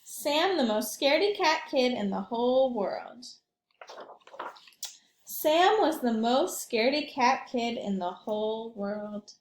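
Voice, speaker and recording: A woman's voice: fairly high pitch, expressive, takes it slowly, reverberant, slight background noise. A woman reading a picture book aloud to young children, expressively, adding simple asides as she goes. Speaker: early childhood read-aloud storyteller.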